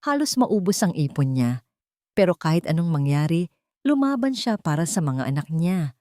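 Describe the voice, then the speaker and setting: A woman narrator plays a fiercely loyal female friend in a love story, with a Filipino accent. She is blunt, scolding and protective, her intonation very animated, in a dry recording. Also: on the low side, normal speed, no echo. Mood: reproachful.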